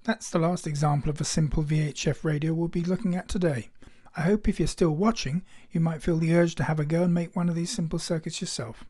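Mellow, higher-pitched man narrating. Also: steady tone, fairly quick, few pauses. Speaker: presenter. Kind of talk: scripted technical narration. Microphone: voice-over.

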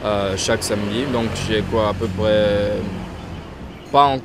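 A young man from Guinea, a painter, answering interview questions in a casual, hesitant way with many restarts and softly trailing phrases. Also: on the low side, steady tone, unhurried. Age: young adult. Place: outdoors in front of a painted backdrop, street traffic nearby. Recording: outdoor street noise behind voice.

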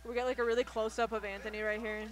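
A man's voice: high-pitched, little pitch movement, racing, crowd noise. A young man chatting casually as a commentator on a gaming livestream. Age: young adult. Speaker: esports stream commentator. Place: large indoor campus common area.

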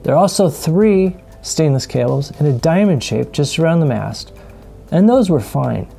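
Calm gravelly man narrating a sailing vlog. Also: fairly high pitch, normal speed, faint music behind. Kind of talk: scripted voice-over narration.

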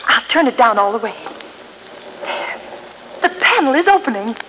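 An actress playing a frightened but spirited young heroine, melodramatic, in a 1930s radio mystery. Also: female voice, a little high, sing-song, moderate pace, sharp tone. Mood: intent. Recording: noisy, echoey 1930s radio recording.

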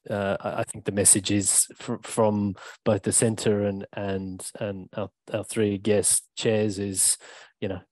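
A man's voice: on the low side, flat delivery, takes it slowly, a little mellow, no echo. A middle-aged man moderating a panel on a video call through a headset, affirming and steering questions.

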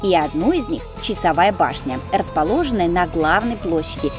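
A woman narrating a travel video as its host, in an expressive, storytelling voice-over. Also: lowish voice, brisk. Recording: voice-over with music behind.

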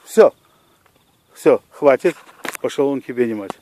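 A man's voice: mid-range voice, lively intonation, a little slow, warm tone, a little hiss or hum. Bossy man calling out orders by a lake. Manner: bossy, laughing, swearing, giving orders. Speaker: person filming a fishing trip. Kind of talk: casual outdoor banter. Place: lakeside outdoors.

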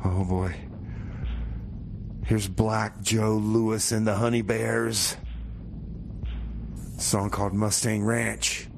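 A man's voice: fairly low pitch, level tone, slow pace, vocal fry, long pauses, noisy background. A man hosting a casual comedic radio show in a folksy, rambling way, often repeating himself. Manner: folksy, rambling, hesitant repetitions.

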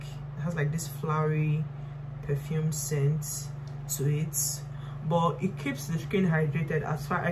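A woman in her twenties with a Nigerian accent chatting casually to camera, pausing and repeating words for emphasis. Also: low voice. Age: 20s. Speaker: lifestyle vlogger. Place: small bright room indoors. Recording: clear background noise and hum.

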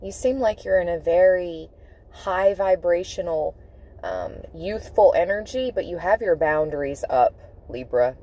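A woman giving an intuitive tarot reading, speaking in deliberate, halting phrases with emphatic repetition. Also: lowish voice, mellow. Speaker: tarot reader. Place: inside a parked car.

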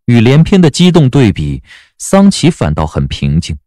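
A man narrating an audiobook drama in a measured, dramatic storytelling manner. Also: medium pitch, huge pitch swings, mellow, no echo, studio quality.

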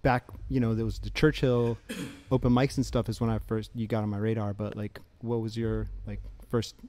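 Male interviewer, mellow, casual and encouraging, slightly off-mic. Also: fairly low pitch, level tone, normal speed. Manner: encouraging, chatty questions. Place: small indoor studio with a painted mural wall.

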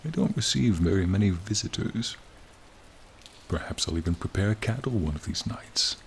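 A man voicing a charming, friendly ancient dragon in a soothing, formal, gently teasing ASMR roleplay. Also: low voice, lively intonation, moderate pace, gravelly, a little hiss or hum. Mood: calm, welcoming. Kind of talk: ASMR roleplay, slow intimate monologue. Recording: rain and cave ambience behind.

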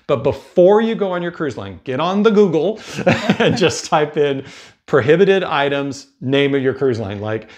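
A man's voice: a little high, expressive, moderate pace, darkish tone. Middle-aged American man explaining casually. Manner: conversational, explanatory. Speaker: cruise advice video host. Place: indoor room with bookshelves. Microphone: lapel mic.

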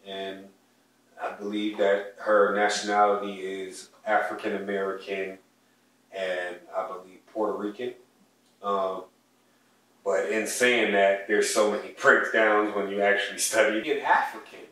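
A man's voice: fairly low pitch, flat delivery, unhurried, a bit bright, recorded from a distance. A young man talking earnestly as a podcast co-host, sharing personal stories in a casual chat at home. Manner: earnest, heartfelt, speaks of his soul and ancestors. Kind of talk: casual conversational storytelling. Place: home living room, indoors. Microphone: distant mic on a stand, wide camera. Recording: echoey room audio picked up from afar.

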